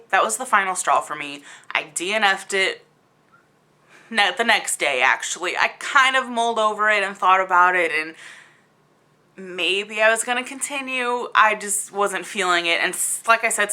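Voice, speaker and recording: A woman's voice: medium pitch, bright, forceful tone. A woman giving a casual, opinionated review to camera as a book vlogger, talking in an emphatic, exasperated, conversational way.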